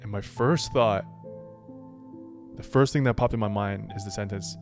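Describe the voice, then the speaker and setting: A man from Canada whose first language is Chinese, co-hosting a casual podcast chat, laid-back and animated when joking. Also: lowish voice, moderate pace, over music. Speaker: podcast co-host.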